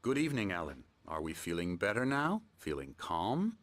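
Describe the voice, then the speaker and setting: Low-voiced, soothingly condescending male psychiatrist. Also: wildly swooping pitch. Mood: patronizing calm. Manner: measured, soothing, patronizing. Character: calm, smooth, condescending male psychiatrist running a clinic. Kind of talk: video game cutscene acting. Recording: music playing behind the voice.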